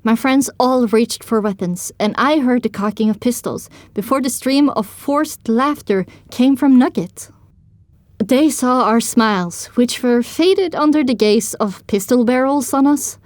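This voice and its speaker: A woman's voice: on the high side, measured pace, darkish tone, no echo. A woman reading first-person dramatic story narration for an audio drama.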